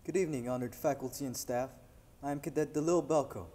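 A teenage male cadet from Rwanda giving a measured, formal speech on an auditorium stage. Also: mid-range voice, steady tone, background noise. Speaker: military academy cadet. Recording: echoey hall, distant mic.

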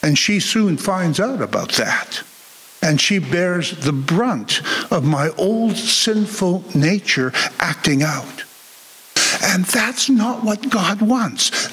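An older man preaches as a pastor from a church lectern. His voice sits high for a man, and he speaks very slowly. He sounds earnest, often repeating a word as he builds a phrase. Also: a bit bright. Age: older, about 70s. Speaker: Lutheran pastor. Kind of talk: sermon. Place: church sanctuary, indoors. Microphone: gooseneck lectern microphone.

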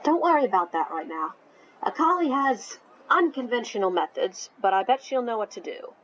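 An actress playing a serious, caring teenage team captain in a visual novel game, earnest and reassuring. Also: female voice, fairly high pitch, expressive, moderate pace, fairly bright tone, dull audio.

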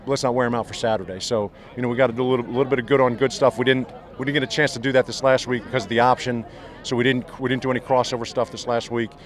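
A middle-aged man, a head football coach, giving a postgame interview outdoors on the field, talking fast and restarting his sentences often. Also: mid-range voice, fairly even intonation. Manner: fast, rambling, many restarts. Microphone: handheld mic held by interviewer.

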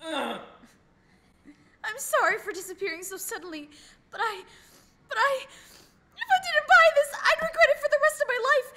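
A woman playing an excitable, stammering young female anime character in an amateur comic dub. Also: very high-pitched, moderate pace, pauses often. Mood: panicky, apologetic. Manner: stammering, dramatic. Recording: faint background noise.

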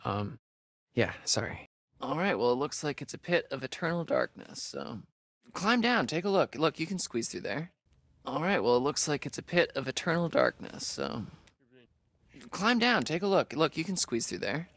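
An actor playing a joking, sardonic young camp counselor in a video game, with an American accent and a laid-back, slangy way of talking. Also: male voice, a little high, very animated, normal speed, gravelly, a bit bright, no echo, exaggerated voice. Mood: casual. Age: young adult. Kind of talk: naturalistic video game acting.

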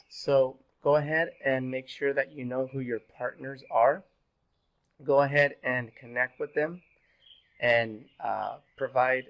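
A man in his thirties, a little sick and hoarse, giving a pre-recorded online class as instructor, relaxed and full of fillers. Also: mid-range voice. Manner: relaxed, filler-heavy, repeats "go ahead". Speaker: university instructor. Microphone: webcam in corner of screen recording.

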